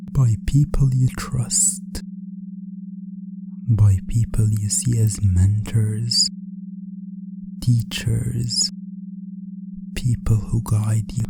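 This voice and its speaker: A man speaking softly in a breathy, often whispered ASMR roleplay as a calm, controlling hypnotist teacher, deliberate and repetitive. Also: mid-range voice. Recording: music bed under the voice. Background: music.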